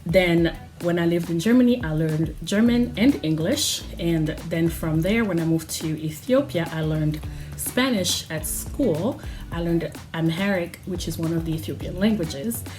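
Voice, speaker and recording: A woman's voice: on the low side, unhurried, a little mellow. A woman, a Lingala speaker, chatting casually to camera at home and laughing often. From Democratic Republic of Congo. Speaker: vlogger. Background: background music.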